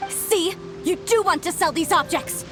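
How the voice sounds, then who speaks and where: A woman's voice: extremely high pitch, unhurried. A woman voicing an earnest female historian who speaks in a sharply reproachful way. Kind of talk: game voice acting. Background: game music.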